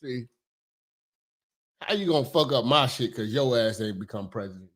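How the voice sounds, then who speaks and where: A man hosting a comedic commentary, talking loud, profane and animated, sometimes shouting. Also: medium pitch, normal speed. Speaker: commentary host.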